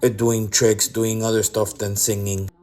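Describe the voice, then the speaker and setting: A man with a somewhat low voice narrates a review voice-over. He sounds blunt and keeps listing his complaints. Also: level tone, a little slow, a little mellow. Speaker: vlogger reviewing a cruise.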